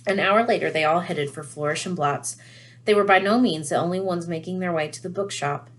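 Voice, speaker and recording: A woman reading a children's novel aloud in an expressive storytelling style. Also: on the low side, a little fast. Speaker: read-aloud reader.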